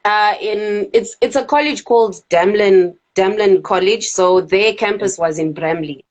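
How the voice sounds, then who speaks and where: A Zimbabwean woman in her thirties, a club DJ chatting casually as an interview guest, animated and quick to laugh. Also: mid-range voice.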